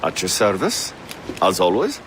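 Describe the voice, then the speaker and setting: Male naval officer, formal, gracious, animated intonation, video game cinematic, noisy boat ambience. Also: deep voice, a little slow, exaggerated voice. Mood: courteous. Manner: formal, polite. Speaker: game character actor.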